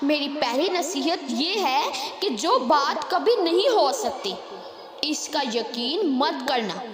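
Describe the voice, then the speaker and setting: A woman's voice: sits high, expressive, takes it slowly. A woman voicing a clever little female sparrow who lectures formally in a children's moral storybook. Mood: advising, teasing. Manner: admonishing, formal storybook speech. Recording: echoey hall-like reverb, crowd-like background noise.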